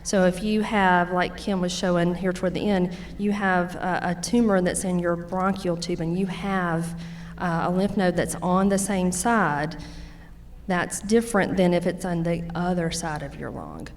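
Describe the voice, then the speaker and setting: A woman's voice: fairly low pitch, measured pace, a little hiss or hum. A woman from Louisiana with a Louisiana Southern accent, a nurse practitioner giving a folksy conference talk that explains with everyday examples. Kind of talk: conference presentation. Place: conference hall.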